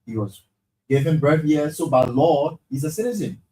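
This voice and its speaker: A man's voice: fairly high pitch, moderate pace, slight background noise, low-quality recording. Male co-host, conversational, stacks up repeated words for emphasis. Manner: animated, repeats words when he gets emphatic. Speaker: co-host of a night talk show. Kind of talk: casual studio chat and reading aloud.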